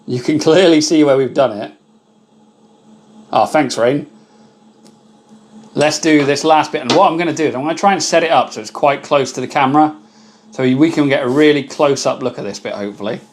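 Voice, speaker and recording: A loud, chatty man hosting a live stream, his voice sitting a little high for a man. He greets his viewers one by one and talks easily while he works. A faint hum of machinery carries behind him. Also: fairly quick, pauses often. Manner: chatty, thanks viewers by name.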